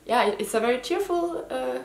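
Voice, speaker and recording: A woman's voice: high-pitched, expressive, brisk, gravelly. A woman in her thirties with a slight Austrian German accent, a native German speaker, hosting her first knitting video podcast from home in a casual, hesitant way with frequent ums. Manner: hesitant, many ums and restarts. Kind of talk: casual chat to camera. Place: living room at home. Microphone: camera mic, head and shoulders framing. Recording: echoey room.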